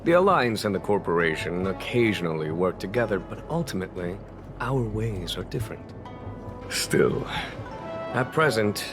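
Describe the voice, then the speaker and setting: An actor playing a shrewd, composed general in a video game, speaking in a measured, courtly, self-assured way. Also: male voice, low-pitched, close to an everyday voice. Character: composed, shrewd, genial male army general. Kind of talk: dramatic video game voice acting.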